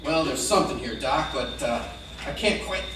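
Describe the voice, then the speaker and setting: Steady American frontier marshal played by a man, hesitant, thoughtful, radio western, distant mic with faint noise. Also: mid-range voice, heavy reverb, close to an everyday voice. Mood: intent, serious. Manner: hesitant, plainspoken, thoughtful pauses. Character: steady, easygoing male frontier lawman of the Old West. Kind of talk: old-time radio western acting.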